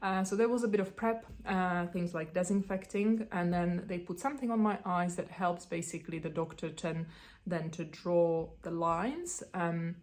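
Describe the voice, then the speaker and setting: Czech lady in her 30s rambling on her vlog, lots of ums, lowish voice. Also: moderate pace, a little mellow. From Czech Republic. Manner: chatty, rambling, many ums and restarts. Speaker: beauty vlogger. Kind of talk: casual talk to camera.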